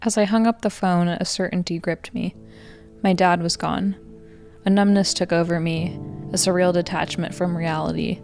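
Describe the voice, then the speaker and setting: An American woman in her twenties reads a scripted first-person story in a measured, reflective way, as a narrator. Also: on the low side, steady tone, a little mellow. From Colorado, United States. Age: 20s. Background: music.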